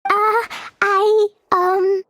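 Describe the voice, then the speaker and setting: A woman's voice: extremely high pitch, level tone, drawn-out words, a bit bright, heavily acted. A woman voicing an earnest, innocent, anxious little girl in a video game, with a childlike, dramatic delivery. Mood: sheepish. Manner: childlike, earnest, dramatic, refers to herself by name. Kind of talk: scripted video game character acting.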